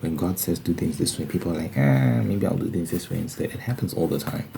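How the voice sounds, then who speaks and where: A man speaking in a casual, halting ASMR style, explaining religious ideas informally and posing questions as he goes. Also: low voice, gravelly. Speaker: ASMR video creator. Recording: faint background noise.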